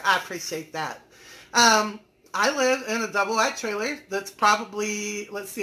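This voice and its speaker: Woman, 40s, bright tone, folksy live-stream host, reads comments and teases viewers. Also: medium pitch. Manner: chatty and folksy, teasing viewers by name. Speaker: online business community host.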